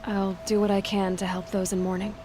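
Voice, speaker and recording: Woman as a direct young huntress, medium-pitched. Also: flat delivery, brisk, noisy background, natural voice. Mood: sympathetic. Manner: plain-spoken, direct. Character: young huntress heroine, direct, sceptical, compassionate. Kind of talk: video game acting.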